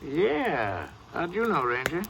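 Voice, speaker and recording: A man's voice: a little high, wildly swooping pitch, slow, creaky, background noise, exaggerated voice. An actor playing a shaken but plucky small-town watchman in a radio drama, plainspoken, folksy and obliging. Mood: earnest, helpful. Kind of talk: radio crime drama acting.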